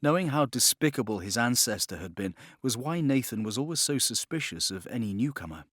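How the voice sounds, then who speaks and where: A man reading a novel as scripted dramatic narration, in a measured, expressive storytelling manner. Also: medium pitch, no echo, clean studio sound. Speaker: audiobook narrator.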